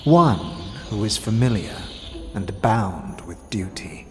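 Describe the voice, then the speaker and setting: A man voicing a solemn, cryptic otherworldly being in a fantasy audio drama, measured and portentous, drenched in reverb. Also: fairly low pitch, animated. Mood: solemn, ominous. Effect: long reverb. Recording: long reverb with music behind.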